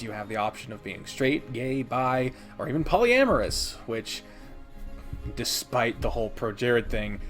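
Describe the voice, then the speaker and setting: A man's voice: medium pitch, fairly bright tone. A man giving a casual, opinionated countdown review of games, rambling and emphatic with plenty of swearing. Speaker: video host and game reviewer.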